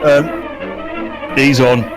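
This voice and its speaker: A man's voice: medium pitch, steady tone, very slow, over music. A man chatting casually and cracking teasing, bawdy jokes as commentary over an old radio show.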